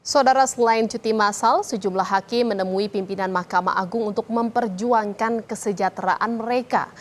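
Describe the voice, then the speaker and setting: A woman's voice: mid-range voice, moderate pace. A woman news anchor reading a scripted news report.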